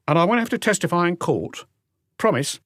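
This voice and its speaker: A man's voice: high-pitched, very animated, a little fast, fairly bright tone, dry recording, clean studio sound, pitched above the performer's own voice. A man voicing a fretful older waiter in an audiobook, pleading anxiously.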